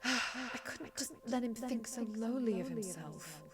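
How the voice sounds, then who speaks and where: A woman playing a young female dragon in an audio drama, earnest and empathetic, hesitant at times but quick to argue back. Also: on the high side, lively intonation. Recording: music behind the voice. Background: music.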